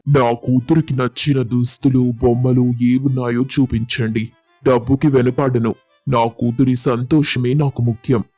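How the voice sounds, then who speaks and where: A man's voice: medium pitch, little pitch movement, a little slow, warm tone, no echo, soft background music, close to an everyday voice. Man playing an emphatic village father, dry sound. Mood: fond, generous. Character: doting village father, quick to anger and suspicion. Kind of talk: acted moral storybook dialogue.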